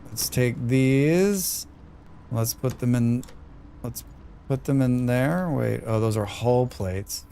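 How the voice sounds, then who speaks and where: A man's voice: medium pitch, takes it slowly, vocal fry, mellow. A man with a scratchy voice, muttering and thinking aloud as he streams a game. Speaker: streamer playing a demo. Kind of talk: live gameplay commentary.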